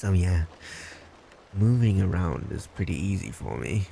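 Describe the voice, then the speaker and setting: A man's voice: low voice, expressive, a little slow, gravelly, mellow, a little hiss or hum, exaggerated voice. A man playing a blunt, smug, creepy lovestruck stalker in an intimate boyfriend roleplay, condescending and teasing. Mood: smug, taunting. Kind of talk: boyfriend roleplay audio, intimate scripted monologue.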